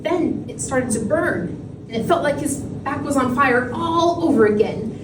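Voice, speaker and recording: A woman's voice: high-pitched, lively intonation, unhurried. A woman reading a fairy tale aloud as a storyteller. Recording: echoey, very noisy room, distant mic.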